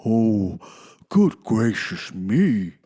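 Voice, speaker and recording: A man voicing a big, jolly, sanctimonious old Santa Claus in an audio drama, with slow, grand, politely preachy delivery. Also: on the low side, big pitch swings, dark tone, slightly rough, heavily acted. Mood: shocked.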